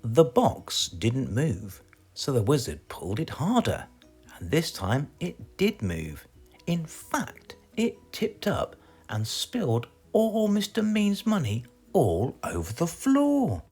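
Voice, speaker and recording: British man, children's storyteller, lively swooping intonation, slightly husky, measured pace. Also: fairly high pitch, faint music behind. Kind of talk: animated children's story reading.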